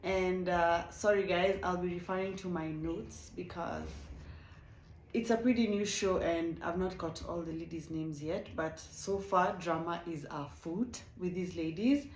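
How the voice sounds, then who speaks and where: A young woman with a Kenyan accent talks through a reality show in a chatty, animated way, often stopping to correct herself. Her voice sits a little low. The room around her has a noticeable echo. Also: unhurried, a little hiss or hum. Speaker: reality TV reviewer. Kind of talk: casual video review.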